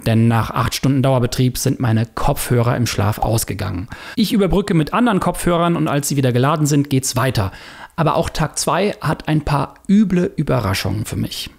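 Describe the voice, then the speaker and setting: A man's voice: mid-range voice, vocal fry. A man narrating a self-experiment video as its creator, in scripted documentary style with casual, personal remarks.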